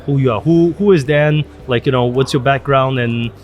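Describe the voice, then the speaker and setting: A man's voice: mid-range voice, normal speed, highs cut off, soft background music. A man hosting a podcast interview at a trade event, talking in a hesitant way with many fillers and restarts. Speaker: podcast host. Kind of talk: conversational interview.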